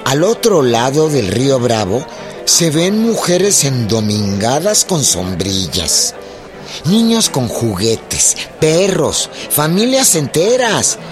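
Casting: male narrator, medium pitch, slow solemn delivery for historical radio drama. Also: big pitch swings. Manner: solemn, literary storytelling. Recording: music behind the voice.

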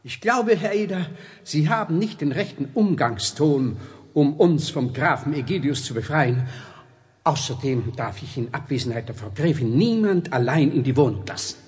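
An actor playing a stiff, easily flustered count's servant in a children's radio play, with formal, haughty diction. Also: male voice, a little high, expressive, moderate pace, husky.

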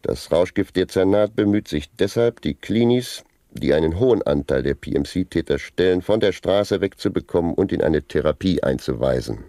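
An actor playing a pedantic senior narcotics officer in a satirical radio drama, lecturing in formal, bureaucratic language. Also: male voice, low-pitched, expressive, normal speed, dark tone, no room sound, studio quality. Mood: officious, didactic. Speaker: interviewed police official.

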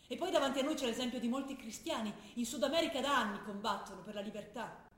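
A woman acting a young revolutionary who writes earnest letters to her father, speaking intensely and sometimes dropping to a hush, in a roomy stage recording. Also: a little high, fast talker, natural voice. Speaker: stage actor. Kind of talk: theatre drama broadcast on radio.

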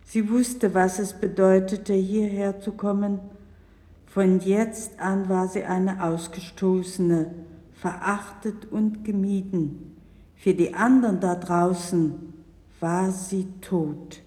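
An actress playing a grave, compassionate missionary who narrates her memories in a solemn, measured audio drama style. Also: mid-range voice, fairly even intonation, dark tone, natural voice. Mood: grave, sorrowful. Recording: long hall reverb, vintage vinyl record.

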